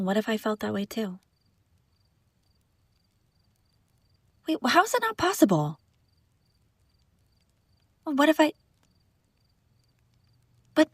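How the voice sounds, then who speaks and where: A woman playing a sharp-tongued young princess bride who is secretly lovesick, in an intimate audio roleplay, teasing and indignant with sighs and broken-off phrases. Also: fairly high pitch, breathy edge, no room sound, heavily acted. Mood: hurt, confessing. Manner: teasing, indignant, sighing, breaking off mid-sentence. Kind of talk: intimate scripted audio roleplay addressed to listener.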